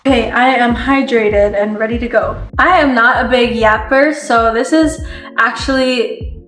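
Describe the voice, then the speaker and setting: Earnest young woman, slightly high voice. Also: steady tone, measured pace, fairly bright tone. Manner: earnest, frequent "like" fillers, direct address. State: losing her voice, sniffly. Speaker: self-help content creator. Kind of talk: casual motivational talk to camera. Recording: echoey room with faint music.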